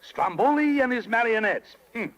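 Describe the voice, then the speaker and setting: A man's voice: very high voice, lively intonation, normal speed, fairly bright tone, dull audio. A man playing a sly, grandiose fox swindler with florid, oily patter in a 1930s radio drama. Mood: scheming, gleeful. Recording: vintage 1930s radio broadcast.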